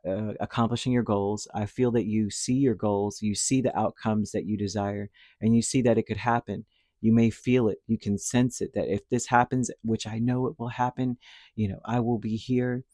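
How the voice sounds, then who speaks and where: A man giving a casual spoken tarot reading, rambling and reassuring, his throat dry and a little hoarse after a cold. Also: fairly low pitch, fairly even intonation, moderate pace, warm tone, no echo. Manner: rambling, reassuring, with many fillers and restarts. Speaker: tarot reader.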